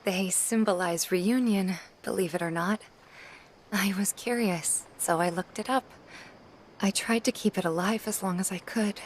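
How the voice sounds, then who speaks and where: Young woman, played by an actress for a game drama: gentle, wistful, unhurried, mid-pitched, faint ambience behind. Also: a little hiss or hum, natural voice. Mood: tender, wistful. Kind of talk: dramatic video game cutscene acting.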